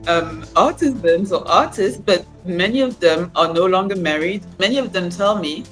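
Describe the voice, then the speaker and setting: A woman's voice: on the low side, normal speed, soft background music, rough recording. A woman from Cameroon in her forties, a fashion brand founder talking reflectively about her life in an interview. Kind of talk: interview conversation.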